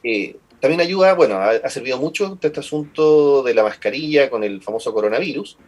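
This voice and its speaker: A Chilean man speaking as a medical guest, his voice husky and a little breathy. He explains things patiently and often repeats words while he searches for the right phrase. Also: mid-range voice, expressive, a little fast. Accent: Chilean Spanish. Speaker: pulmonologist guest. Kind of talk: explanatory interview answers.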